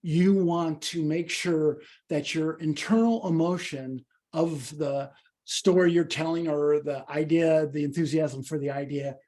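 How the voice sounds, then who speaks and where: A man leading a public speaking workshop, teaching in an animated, emphatic, conversational way with a slightly husky voice. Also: fairly high pitch, unhurried. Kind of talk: instructional talk with questions and answers.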